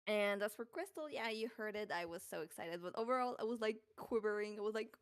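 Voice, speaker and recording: A woman's voice: medium pitch, expressive, fairly quick, clear, resonant tone, no room sound. A woman voice actor in a casual chat, rambling a little and giggling.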